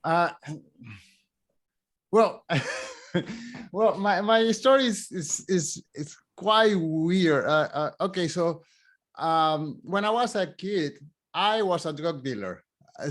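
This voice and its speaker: A middle-aged man with a Spanish accent, pitched a little high. He speaks haltingly, with lots of restarts and fillers. He laughs easily in casual interview chat. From Spanish-speaking country. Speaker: podcast host.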